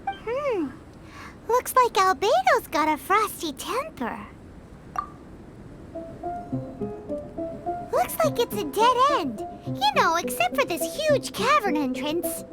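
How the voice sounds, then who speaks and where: Game sidekick: tiny chirpy fairy girl, extremely high and squeaky, swooping wildly, cheeky and exclamatory. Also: huge pitch swings, a little slow, a bit bright, soft background music, full character voice. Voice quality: squeaky, childlike. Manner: exclamatory, refers to herself by name. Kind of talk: scripted video game dialogue.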